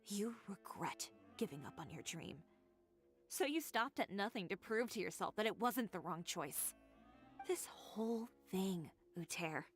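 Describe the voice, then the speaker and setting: A woman's voice: medium pitch, lively intonation, a little slow, soft background music, lightly acted. An actress playing a proud, determined young female fashion designer in a game, speaking in a firm, cool, lecturing way. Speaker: game character.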